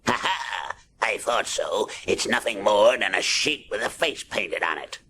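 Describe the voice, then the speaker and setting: A plucky cartoon sailor, mutters salty, mangled grammar in a low voice. He takes his time, scrappy and defiant, over a faintly noisy old recording. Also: expressive, measured pace, bright, forceful tone. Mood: wry, curious. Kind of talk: children's storybook reading.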